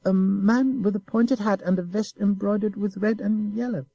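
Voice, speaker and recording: Guy doing a cheeky little kid voice, high and sneery, husky and dry. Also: steady tone, normal speed, mellow, no echo, rough recording. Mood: sly mocking. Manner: sneering, pert. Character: sly young boy, cool and mocking. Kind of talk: audiobook character voice.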